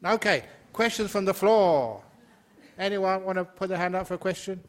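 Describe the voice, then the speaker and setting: Jokey older monk, higher voice. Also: male voice, normal speed. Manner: jokey, laughs often, chatty with fillers. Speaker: Buddhist monk and teacher. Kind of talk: Dharma talk Q&A.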